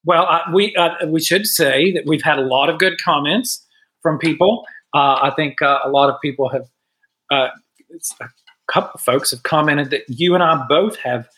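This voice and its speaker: Middle-aged guy, kinda high voice, earnest and halting, lots of uhs, podcasting over a video call. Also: pushed and bright. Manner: earnest, hesitant with many uh fillers and restarts. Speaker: podcast co-host. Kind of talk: casual chat.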